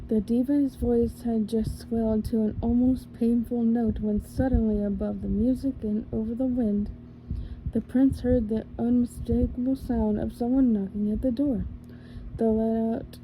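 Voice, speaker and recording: Female ASMR reader, unhurried and soft, dark warm tone, small self-corrections. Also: on the high side, a little hiss or hum, low-quality recording. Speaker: ASMR storyteller. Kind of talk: soft ASMR story reading.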